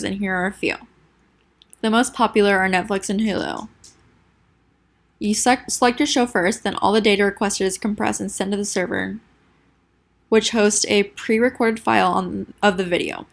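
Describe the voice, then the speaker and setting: Stuffy nasal young woman reading her class project, bit of fry, trips over words sometimes. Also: mid-range voice. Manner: reads a prepared script with small stumbles and restarts. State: sick, congested. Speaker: student presenter.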